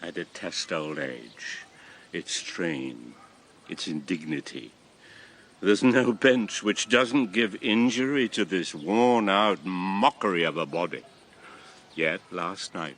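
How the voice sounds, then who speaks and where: A man's voice: low voice, fairly bright tone, slight background noise, exaggerated voice. An actor playing an old former king and grandfather, a solemn, impassioned convert to a god, in a classical radio drama. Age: old, past retirement. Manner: solemn, impassioned, theatrical delivery.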